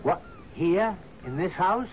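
Slow, hesitant, guarded islander man. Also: sits high, expressive. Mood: alarmed. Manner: halting, guarded. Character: hesitant, uneasy subordinate islander living under the captain. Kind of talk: old-time radio drama acting. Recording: muffled, noisy old radio broadcast.

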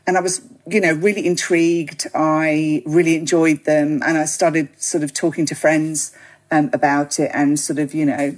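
A woman's voice: low voice, little pitch movement, moderate pace, poor audio. A British woman from the UK, chatting as a podcast guest in a rambling way, full of ums and you knows. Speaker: podcast guest, gin writer. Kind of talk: relaxed interview chat.

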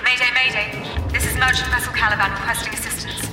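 A woman's voice: a little high, little pitch movement, fast talker, close to an everyday voice. A woman playing a distressed spaceship crew member sending a mayday in an audio drama. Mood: urgent distress. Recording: echoey, distant, music behind.

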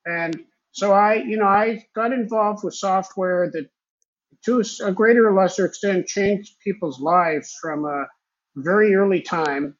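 A man's voice: sits high, measured pace, highs cut off. An older man, a native Hebrew speaker raised in Israel, telling his story as an interview guest in a rambling, reflective way. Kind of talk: conversational storytelling.

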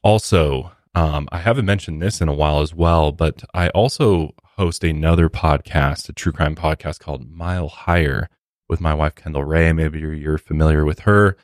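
A man's voice: extremely low pitch, fairly even intonation, moderate pace, no room sound, clean studio sound. A man with an American accent hosting a podcast, chatting casually to open the show. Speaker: podcast host. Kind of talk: casual podcast intro and chat. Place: podcast studio.

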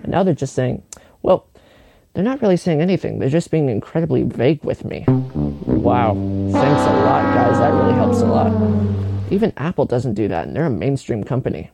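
Male tech contributor, creaky voice, wry exasperated rant, clear music bed underneath. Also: mid-range voice, wildly swooping pitch, normal speed. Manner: sarcastic, exasperated. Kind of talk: humorous scripted tech rant. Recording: music and sound effects underneath.

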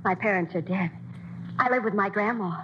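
A woman's voice: medium pitch, moderate pace, a little hiss or hum, lightly acted. An actress playing a timid young woman hoping to dance in the chorus, in a 1940s radio drama on a dull old broadcast. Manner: timid, hesitant. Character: shy young girl, a hopeful chorus dancer.

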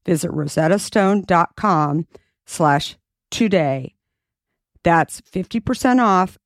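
A woman's voice: dry recording, studio quality. A woman podcast host reading sponsor ads in an upbeat, persuasive way. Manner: upbeat, persuasive sales pitch. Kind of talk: read ad.

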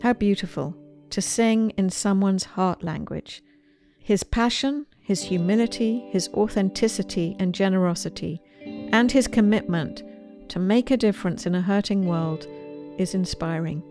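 A woman hosting a show, delivering a scripted, earnest introduction in a measured way. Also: medium pitch, a little mellow. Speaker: host.

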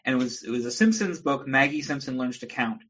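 Husky guy from new zealand, mostly american-sounding now, wry rambling stories, kinda lo-fi call audio. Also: mid-range voice, animated, fast, fairly bright tone. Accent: mostly American, little of his New Zealand accent left. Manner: rambling, wry storyteller with comic asides. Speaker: guest creator. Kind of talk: casual video call chat.

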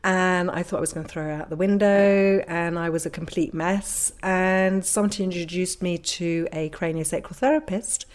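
A woman's voice: fairly low pitch, steady tone, moderate pace, few pauses. A woman in her fifties with a London accent, a podcast guest telling anecdotes in a casual, conversational way. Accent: London English. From London, England. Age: 50s. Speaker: interview guest, craniosacral therapist.

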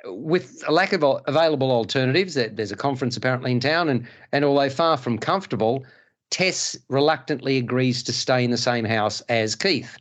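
A man's voice: medium pitch, fairly quick. A male podcast host and film critic, chatting casually and reviewing films with many restarts. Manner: stammering restarts, animated.

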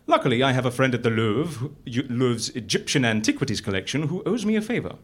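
Husky, wildly animated fixer. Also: male voice, mid-range voice, fast talker. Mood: confident, scheming. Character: brisk, matter-of-fact male fixer who fakes clients' deaths. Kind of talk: live audio comedy drama acting. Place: live on stage at a festival venue.

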